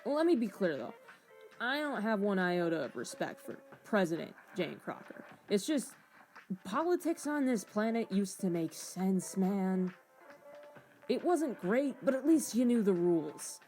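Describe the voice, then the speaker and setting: A woman's voice: medium pitch, very animated, a little slow, a little mellow, soft background music. A woman voicing a disillusioned, grumbling schemer in a comic audio drama, ranting in exasperation. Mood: exasperated, doubtful. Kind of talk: hobby voiced comic drama.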